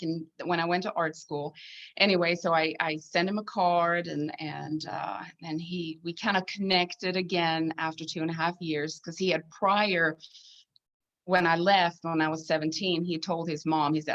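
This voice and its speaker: A woman's voice: on the low side, steady tone, moderate pace, a bit bright, muffled recording. A woman in her fifties with a Swedish accent, chatting casually as a podcast guest. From Sweden. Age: 50s. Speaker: podcast guest, needlepoint designer. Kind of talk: casual chat.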